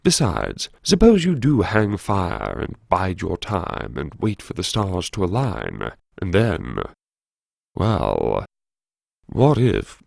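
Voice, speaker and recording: An actor playing a wry, brooding academic who muses to himself in old-fashioned, theatrical, self-mocking phrases, as in an audiobook drama. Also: male voice, low-pitched, vocal fry, no room sound, studio quality, heavily acted. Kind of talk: first-person audiobook drama monologue, talking to himself.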